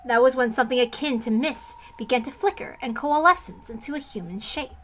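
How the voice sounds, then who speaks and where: A woman's voice: fairly high pitch, brisk, slight background noise. A woman reading a visual novel aloud as dramatic scripted narration.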